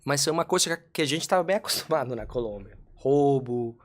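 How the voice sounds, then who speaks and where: A man's voice: mid-range voice, lively intonation. Colombian-accented man, earnest guest. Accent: Colombian Spanish. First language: Spanish. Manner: earnest, measured, searching for Portuguese words. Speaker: podcast guest. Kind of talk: casual interview storytelling.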